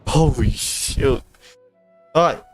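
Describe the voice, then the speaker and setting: A woman livestreaming a game, chatting casually and reading bits aloud in a halting, muttering way. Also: very deep voice. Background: faint game music.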